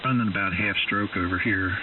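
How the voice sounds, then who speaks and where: A man's voice: on the low side, fairly even intonation, a little fast. A man calling in on a ham radio chat, rambling casually with many ums and uhs. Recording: distant, tinny radio signal with hiss.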